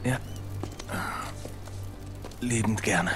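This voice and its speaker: A man's voice: lowish voice, very slow. A man playing a wry, determined adventurer hero in a dubbed action game, with a dry, sardonic manner. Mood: wry, focused. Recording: music behind.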